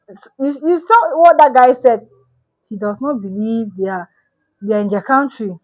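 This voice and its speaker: A woman commenting on a video she is watching, halting and searching for words as she talks. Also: high voice, rough recording. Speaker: reaction commentator. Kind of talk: emotional reaction commentary.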